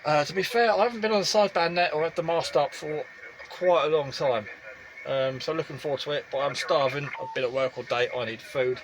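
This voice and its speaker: British man vlogging over clear background noise. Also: fairly high pitch, brisk. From United Kingdom. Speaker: vlogger and amateur radio hobbyist. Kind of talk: casual vlog chat to camera. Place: inside a small motorhome.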